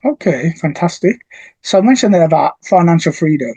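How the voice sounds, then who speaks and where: A male podcast host asks questions and offers short, encouraging replies. His voice is husky and mellow. The sound is dry and close. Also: on the high side, animated, fairly quick, no echo, low-quality recording. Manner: brief, encouraging prompts. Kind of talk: interview questions.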